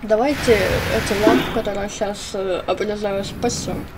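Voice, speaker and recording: A woman's voice: mid-range voice, fairly even intonation, measured pace. A woman giving casual commentary over her own gameplay video, chatty and joking with ironic asides. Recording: loud noise under the voice.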